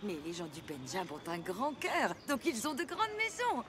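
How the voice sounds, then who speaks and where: A woman's voice: a little high, huge pitch swings, moderate pace. A woman playing a gushing, eager wedding hostess in a French film dub. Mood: gushing welcome. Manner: effusive, eager. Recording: music behind the voice.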